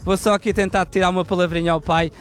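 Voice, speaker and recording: A man reporting live from an outdoor fan gathering and chatting with the crowd on a handheld mic, lively and chatty. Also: high-pitched, quick pace. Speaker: field reporter.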